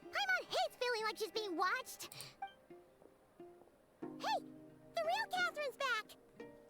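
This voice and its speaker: Game sidekick: tiny fairy girl, extremely high squeaky pitch, animated, excitable, loud music underneath. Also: takes it slowly, exaggerated voice. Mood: chatty. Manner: squeaky, exclamatory, refers to herself by name. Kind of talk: video game voice acting.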